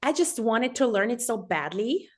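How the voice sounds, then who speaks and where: Podcast guest, female, German accent, slightly high voice, casual personal storytelling in an interview. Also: sing-song, normal speed, darkish tone. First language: German and Transylvanian Saxon dialect.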